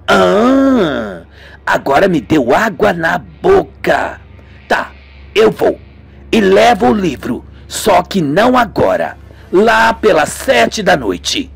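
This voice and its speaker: A man's voice: sits high, very animated, slow, creaky, slightly rough, exaggerated voice. A man voicing a fussy, wary elderly woman shopkeeper in a radio melodrama, curt and suspicious. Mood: grudging.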